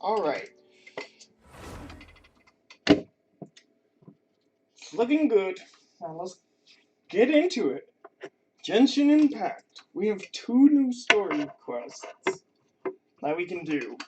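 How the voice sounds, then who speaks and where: A woman's voice: medium pitch, big pitch swings, slow pace, pauses often, mellow, lo-fi sound, slight background noise. A woman game streamer chatting casually on a livestream.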